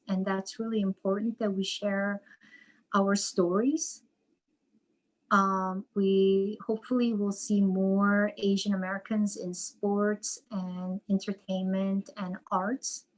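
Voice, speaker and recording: Slow, measured woman author. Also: medium pitch, poor audio. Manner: slow, measured, thoughtful with repetitions. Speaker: psychotherapist and author, guest. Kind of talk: reflective interview answers.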